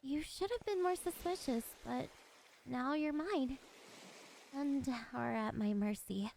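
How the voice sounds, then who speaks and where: Girl doing a soft weak-voiced siren for asmr roleplay, high n mellow, gentle but predatory. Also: slow pace, vocal fry, slight background noise, heavily acted, low-quality recording. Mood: smug, possessive. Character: soft-voiced female siren, lonely, possessive, predatory, curious.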